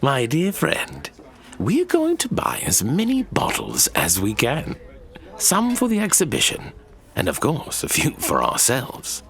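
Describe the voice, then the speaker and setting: An actor playing a scholarly archivist in a fantasy audio drama, telling old legends in a theatrical, savoring manner. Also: male voice, fairly high pitch, huge pitch swings, unhurried, creaky, fairly bright tone, exaggerated voice. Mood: jovial, indulgent. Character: scholarly male Lord Archivist, fond of drink, recounting sad legends.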